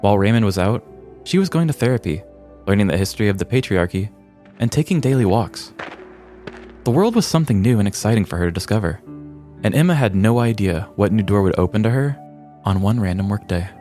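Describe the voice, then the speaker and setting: A man's voice: lowish voice, lively intonation, fairly quick, mellow, faint music behind. An American man hosting a podcast, reading a scripted comedic story with arch, theatrical mock-seriousness. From United States. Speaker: podcast host.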